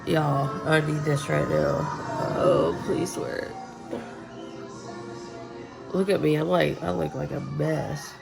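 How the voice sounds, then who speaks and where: A woman's voice: deep voice, steady tone, slow, gravelly, pauses often, loud music behind the voice, poor audio. A woman with a Southern US accent, congested and nasal from sinus trouble, chatting bluntly in her kitchen with swearing and dry humor. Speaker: homesteading vlogger. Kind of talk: casual chat to camera. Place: home kitchen.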